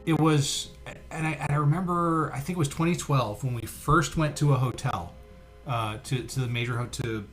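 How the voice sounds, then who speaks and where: A man's voice: mid-range voice, moderate pace, fairly bright tone, faint music behind. A man co-hosting a casual livestream, chatting and reading out comments in a jokey, talkative way. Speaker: co-host of a livestream show.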